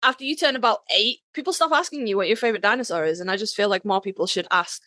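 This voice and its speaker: A woman's voice: medium pitch, fast, sharp tone, dry recording, clean studio sound. A woman bantering casually as a podcast co-host, emphatic, geeky and enthusiastic.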